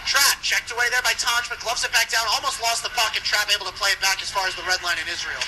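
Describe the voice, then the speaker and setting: A man doing live play-by-play commentary of an ice hockey game for radio, following the action briskly. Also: sits high, fairly even intonation. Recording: noisy live radio sports broadcast.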